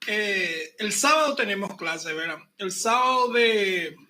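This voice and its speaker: High-voiced man lecturing at a slow pace. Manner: relaxed, chatty, repeats himself, jokes with students. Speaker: university pathology lecturer. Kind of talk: online class lecture. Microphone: computer mic on a video call.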